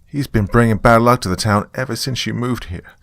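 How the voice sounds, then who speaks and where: A man's voice: medium pitch, fairly quick, gravelly, fairly bright tone, no room sound, studio quality, voice pushed up. A man voicing a furious, self-righteous, God-fearing father full of scorn in a narrated story.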